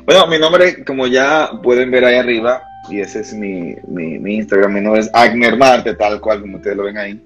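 Low-voiced Dominican man, halting chat. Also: dull audio, faint music behind. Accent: Dominican, from Santiago. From Dominican Republic. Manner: hesitant, many fillers and restarts. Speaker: guest. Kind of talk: casual livestream chat.

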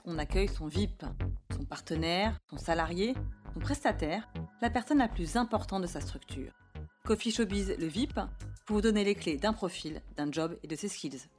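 Woman reading a podcast intro over music. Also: fairly low pitch. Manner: bright, promotional reading. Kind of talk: scripted intro narration.

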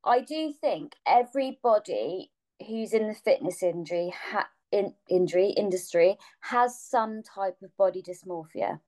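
A woman in her mid-thirties speaks at an ordinary mid pitch. She is open and talkative, sharing personal habits frankly and breaking into laughs. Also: lively intonation, measured pace, hardly any pauses, darkish tone. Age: 30s. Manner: candid, chatty, laughs often. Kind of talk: casual chat.

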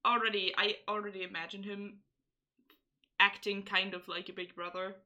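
A woman, talking in a very bright, ringing voice. She co-hosts a casual chat podcast and reads out listener replies in an easy, chatty way. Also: mid-range voice, flat delivery, moderate pace. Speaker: podcast co-host.

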